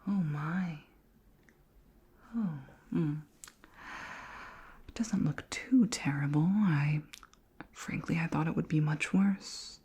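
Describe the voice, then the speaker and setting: A woman playing a curious, flirty alien queen in an ASMR roleplay, speaking in a soft, breathy whisper with formal, gently clinical politeness. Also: low voice, heavily acted. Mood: gentle, reassuring. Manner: slow, gentle, formal questioning.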